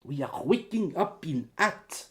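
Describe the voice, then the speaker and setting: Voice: medium pitch, lively intonation, unhurried, lightly acted. An actor playing an eager, helpful foreign former student stranded as an exile in a radio play, explaining earnestly. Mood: dramatic recounting.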